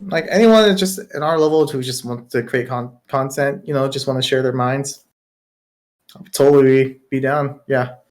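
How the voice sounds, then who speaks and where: A man's voice: mid-range voice, moderate pace. A young man with a slight Southern US accent and a light, airy voice, co-hosting a podcast in easygoing chat and laughing readily. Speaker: podcast co-host. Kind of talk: casual chat.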